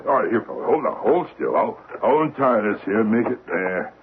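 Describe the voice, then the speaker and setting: A man playing an easygoing, apologetic burglar boss in old-time radio drama, genial and rambling with rough grammar. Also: fairly low pitch, lively intonation, fairly quick. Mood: mock-polite. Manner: genial, rambling, mock-polite, uneducated grammar. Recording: narrow-band, hissy old radio broadcast.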